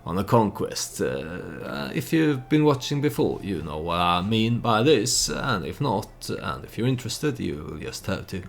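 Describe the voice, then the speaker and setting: A man with a very low voice hosts a let's play in a casual storytelling style. He speaks hesitantly, thinking his choices through aloud. Faint noise sits behind his voice. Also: very animated, measured pace, gravelly, hardly any pauses. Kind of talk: casual game commentary with storytelling.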